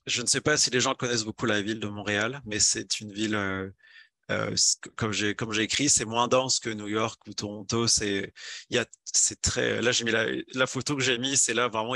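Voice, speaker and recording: A man's voice: fairly low pitch, sharp tone, no room sound. A young man from France, a video game developer, giving a casual talk with slides, hesitant with many fillers and restarts.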